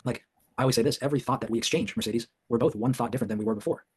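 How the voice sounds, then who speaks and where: Fast-talking husky man hosting. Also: medium pitch. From China. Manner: enthusiastic, says "like" often. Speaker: workshop host. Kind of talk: casual group discussion.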